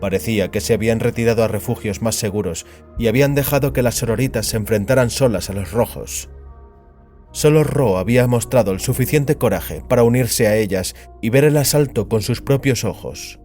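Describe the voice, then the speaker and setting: A man narrating a dramatized story as scripted fiction, in a grave, deliberate storytelling manner. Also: mid-range voice, a little mellow. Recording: music behind the voice.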